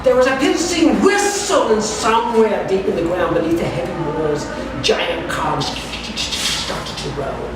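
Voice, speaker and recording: A man's voice: high voice, animated, a little slow, hardly any pauses. A man reading fiction aloud at a live event, now and then stumbling over and repeating words. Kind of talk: live literary story reading. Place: live event at a steampunk carnival. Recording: echoey distant recording, loud event noise. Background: loud ambient event noise.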